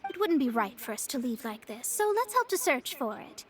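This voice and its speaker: A woman voicing a proud young female astrologer, measured and slightly haughty, in video game dialogue. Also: high voice, sing-song. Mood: poised.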